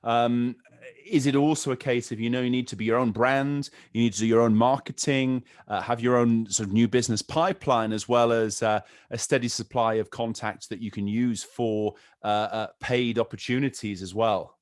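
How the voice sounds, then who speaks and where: A man's voice: mid-range voice, steady tone, moderate pace. A man from a Nordic country hosting a podcast interview, asking long, rambling questions full of repeated words. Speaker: podcast host.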